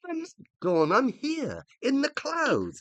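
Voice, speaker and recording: An actor playing a dead scientist husband in a radio play, middle-aged and British, pompous, plaintive and indignant. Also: male voice, extremely high pitch, wildly swooping pitch, takes it slowly, no echo, heavily acted. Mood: indignant, pleading. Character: dead scientist husband living as an uploaded consciousness, needy, indignant. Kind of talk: radio play dialogue and monologue.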